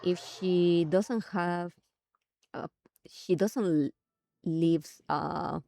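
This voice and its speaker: Woman streamer, slow halting reactions. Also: fairly low pitch, gravelly, mellow, dry recording, faint music behind. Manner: thinks aloud, halting, exclamatory. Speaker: streamer playing a game. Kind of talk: casual game reaction commentary.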